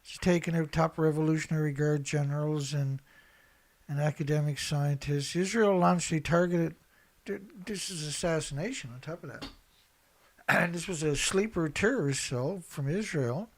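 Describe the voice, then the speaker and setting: A man with a slightly high voice delivers opinionated news commentary. He speaks bluntly and colloquially, hammering points by repeating them. It sounds like an unscripted online show where he reads headlines and reacts. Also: moderate pace. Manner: emphatic, repetitive, blunt, colloquial. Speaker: online commentator and activist host.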